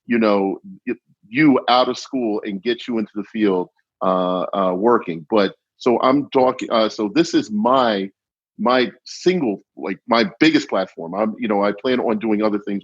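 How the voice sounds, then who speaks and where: A man speaking as a guest on a podcast panel, enthusiastic and loud, often restarting his sentences. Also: on the low side, no room sound, lo-fi sound. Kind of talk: panel discussion.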